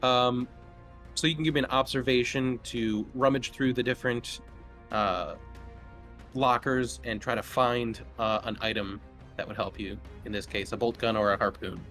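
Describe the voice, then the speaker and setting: A male game master talks casually through a tabletop horror session. He improvises his descriptions haltingly, in a medium-pitched voice. Music plays faintly behind him. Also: fairly even intonation, a bit bright.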